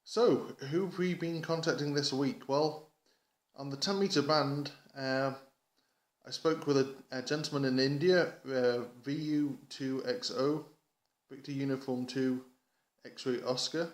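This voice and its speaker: The sound is roomy and echoey around a man from England with a middling-low voice. He talks like a hobbyist vlogger giving a casual update. His delivery is enthusiastic and rambling, halting often and doubling back on his words. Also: steady tone. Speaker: amateur radio hobbyist vlogger.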